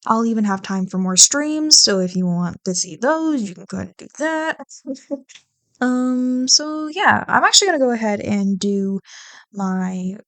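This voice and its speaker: Female creator, off-the-cuff and rambling, slightly gravelly with fry, unhurried, self-mocking, casual swearing, close dry mic. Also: on the high side, expressive, no echo. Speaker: ASMR roleplay audio creator. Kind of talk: casual rambling chat to listeners.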